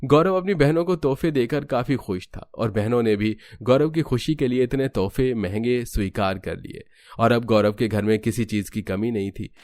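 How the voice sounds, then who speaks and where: A man's voice: mid-range voice, moderate pace, darkish tone. A man giving scripted storytelling narration for a cartoon.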